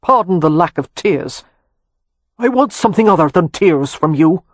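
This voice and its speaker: A haughty old Irish earl with an Anglo-Irish accent, as an audiobook reader puts him on, cold, commanding and dismissive. His voice sits a touch higher and louder than the reader's narration, with a husky edge. It sounds dry, with no room around it. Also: expressive, moderate pace, no echo, close to an everyday voice. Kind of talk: audiobook character reading.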